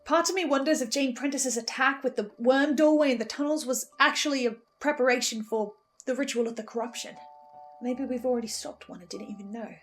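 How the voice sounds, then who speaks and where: A woman host giving an informal fan recap and theory commentary, with animated asides that interrupt her own train of thought. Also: high voice, a little fast, faint music behind. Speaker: host, first-time listener reviewer.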